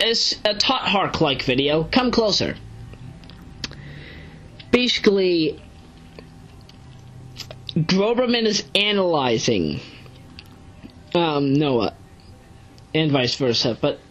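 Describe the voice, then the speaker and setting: A woman giving casual, unscripted commentary as an online reviewer, rambling and halting as she corrects herself. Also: low-pitched, highs cut off. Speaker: online video reviewer and narrator. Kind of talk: casual unscripted commentary recapping a web series.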